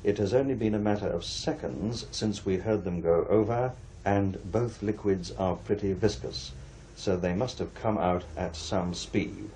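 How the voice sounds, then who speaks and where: An actor playing a formal, cautious and inquisitive paranormal investigator in a radio play, speaking with careful precision. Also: male voice, on the low side, a little slow, warm tone, a little hiss or hum, natural voice, poor audio. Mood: precise, cautious. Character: measured, inquisitive male paranormal investigator, a widower.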